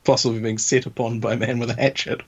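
A man's voice: mid-range voice, little pitch movement, creaky, husky. A man chatting casually, brainstorming with a wry, joking manner. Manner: wry, quick to joke at ideas. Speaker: podcast co-host. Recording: dull lo-fi podcast audio.

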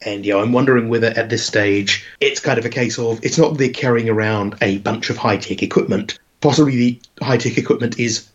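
A man with a croaky, slightly rough voice talks casually, letting ideas build through long, rambling setups. His pitch sits in an ordinary middle range. The podcast audio is dull and lo-fi. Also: brisk, hardly any pauses. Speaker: podcast co-host. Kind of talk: casual chat pitching a game idea.

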